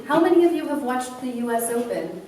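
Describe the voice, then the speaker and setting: A woman co-hosting a talk about dance before a live audience, explaining in a steady way. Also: high voice, fairly quick, heavy reverb, distant mic, a little hiss or hum. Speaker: dance instructor and show co-host. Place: event room with a live audience.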